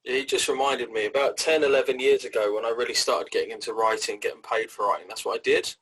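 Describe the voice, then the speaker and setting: A man hosting a career interview, chatting in an animated, jokey way. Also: medium pitch, fast, husky, poor audio. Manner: animated, jokey, builds up examples. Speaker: host, career coach. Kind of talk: casual interview chat.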